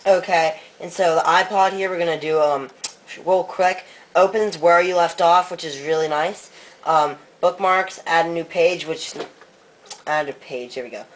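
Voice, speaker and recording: A man's voice: a little high, fairly even intonation, unhurried, fairly bright tone, a little hiss or hum, rough recording. A man co-hosting a tech comparison, casually talking through a device demo. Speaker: co-host, tech reviewer. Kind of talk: casual gadget review demo.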